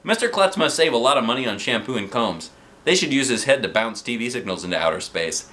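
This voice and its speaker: Wisecracking, nervous storybook schoolboy played by a man, lively pitch swings, hammy comic delivery. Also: fast talker. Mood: mock-incredulous, joking. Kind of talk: children's storybook read aloud.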